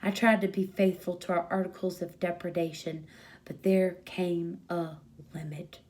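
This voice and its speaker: A woman's voice: lowish voice, level tone, moderate pace, a little mellow, close to an everyday voice. A woman voicing an exasperated, beleaguered grown male crook with pompous, folksy wording in a storybook reading. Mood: weary, defeated. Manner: pompous, wordy, folksy speech.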